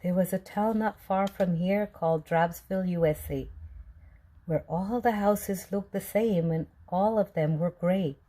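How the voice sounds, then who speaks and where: A woman reading a children's storybook aloud with deliberate, expressive phrasing. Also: fairly low pitch. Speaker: storybook reader.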